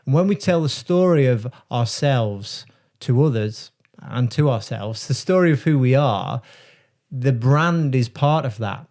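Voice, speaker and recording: A man who works as a brand strategist, talking casually to camera and explaining ideas in a reflective, unhurried way with frequent pauses. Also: mid-range voice, expressive, a little mellow.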